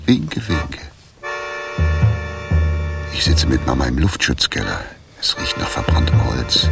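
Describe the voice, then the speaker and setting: An actor playing a gloomy, laconic, jobless middle-aged tramp in a German radio drama, with a very gravelly voice. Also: male voice, extremely low pitch, full character voice. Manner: laconic, morose. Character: gloomy freezing male Berlin tramp, jobless former lathe operator.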